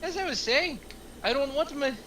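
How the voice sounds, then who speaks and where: Woman acting a foul-mouthed crook, exasperated, high bright voice, animated pitch, table read, noisy recording. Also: normal speed. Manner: exasperated, sweary. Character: Eddie, exasperated foul-mouthed male crook, bickering with his partner. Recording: clear background noise.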